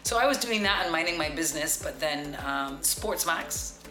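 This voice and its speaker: A woman in her forties with a deep voice, telling stories as an interview guest in a confident, practised broadcaster's way. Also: expressive, moderate pace. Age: 40s. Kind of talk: relaxed storytelling interview. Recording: echoey room with music behind.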